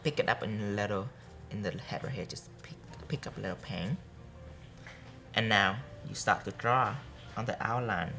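Soft-spoken nail art instructor, low voice. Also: male voice, normal speed, soft background music. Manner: chatty, jokey, repeats instructions softly while demonstrating. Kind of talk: live workshop teaching. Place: bright studio classroom with students.

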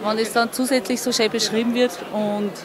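A woman's voice: on the high side, flat delivery, fairly quick. Middle-aged female visitor, Upper Austrian accent, casual vox pop in a crowded hall. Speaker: interviewee, event visitor. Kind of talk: casual interview. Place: crowded indoor event hall. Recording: crowd chatter behind.

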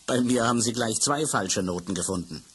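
An actor playing a kindly father who is an actor and theatre director, talking in a calm, reassuring way in a vintage radio play. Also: fairly low pitch, a little fast, husky.

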